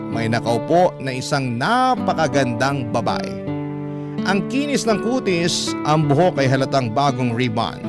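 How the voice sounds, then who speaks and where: A man narrating a confessional story in the first person as a radio host, dramatic, earnest and confiding. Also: medium pitch. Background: music bed with plucked guitar.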